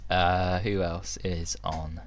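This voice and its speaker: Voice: deep voice, little pitch movement, takes it slowly. A host commentator on a sim racing livestream, enthusiastic, with many ums and exclamations. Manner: enthusiastic, filler-heavy.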